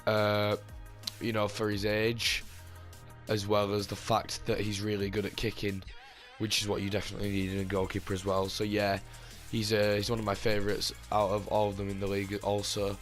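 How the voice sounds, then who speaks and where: A man hosting his gaming channel, talking casually about his team with a slightly hoarse voice, rambling with lots of fillers and restarts. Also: lowish voice, completely flat delivery, moderate pace, faint music behind. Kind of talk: casual gameplay commentary.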